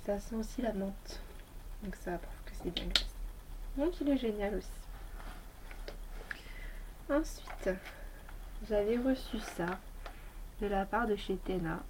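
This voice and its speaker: A woman's voice: medium pitch, takes it slowly, long pauses, background noise. A woman beauty vlogger with a slight head cold, chatting casually and rambling through a review of her toiletries. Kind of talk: casual product review chat.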